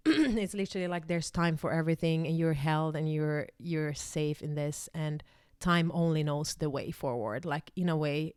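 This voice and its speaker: A woman's voice: deep voice, darkish tone, clean tone, no echo, clean studio sound. A young woman from Finland whose first language is Swedish, telling a personal story in a rambling way, full of fillers and restarts. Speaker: vlogger.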